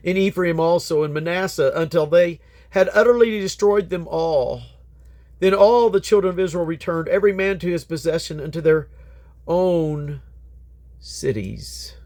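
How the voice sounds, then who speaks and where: A man with a slightly high voice reads scripture aloud as a Bible reader. His delivery is solemn and declamatory, and his phrases tend to drop at their endings. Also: darkish tone.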